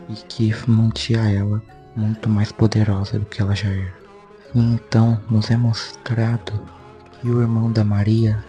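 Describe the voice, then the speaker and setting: A Brazilian man narrates a story recap in an informal, conversational way. His voice is low and mellow, with a fairly flat delivery. Soft music plays behind him as he talks. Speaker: YouTube host.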